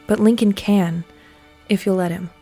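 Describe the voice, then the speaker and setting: A woman plays a remorseful teenage girl in a podcast drama. She speaks earnestly and haltingly at an ordinary female pitch, with soft music underneath. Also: steady tone, slightly rough. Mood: guilty, earnest. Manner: halting, confessional. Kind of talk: podcast audio drama acting.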